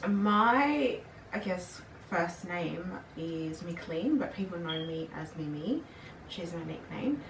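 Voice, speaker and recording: A young woman with a lowish voice chats casually as a guest and explains things at length. The sound is roomy and picked up from a distance. Faint noise sits underneath. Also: animated, slow. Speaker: guest, older sister. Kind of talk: casual chat.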